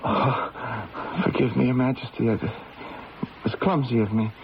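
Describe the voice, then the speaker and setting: An actor playing an earnest, deferential young gendarme, respectful and hesitant, in an old-time radio drama. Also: male voice, medium pitch, measured pace, gravelly. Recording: dull lo-fi radio, faint noise.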